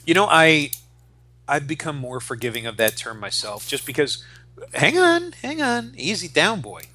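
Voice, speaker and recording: A man's voice: fairly high pitch, very animated, moderate pace, sharp tone. A man in his forties co-hosting a podcast, chatting casually and with strong opinions, ranting and swearing freely. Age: 40s. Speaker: podcast host.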